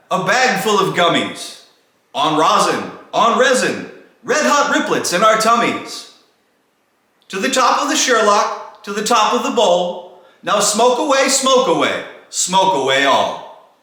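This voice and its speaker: A man acts out a jolly old stoner Santa rallying his sleigh team. The calls are raised high and forceful, in rousing rhyme from a comic holiday poem. The sound is very echoey. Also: sing-song, slow, full character voice. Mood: rousing, jubilant. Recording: echoey, reverberant room sound.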